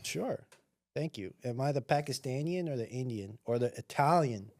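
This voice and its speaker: Gravelly laid-back man, dry mic. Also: mid-range voice, lively intonation, normal speed, darkish tone, dry recording. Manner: laid-back, wry, teasing. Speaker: podcast guest, real estate investor. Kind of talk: casual podcast chat.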